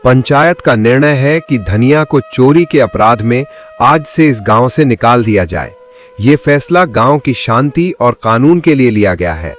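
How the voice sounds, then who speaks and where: A man's voice: mid-range voice, expressive, a little slow, soft background music, natural voice. A man playing a stern village council head, speaking formally and with authority.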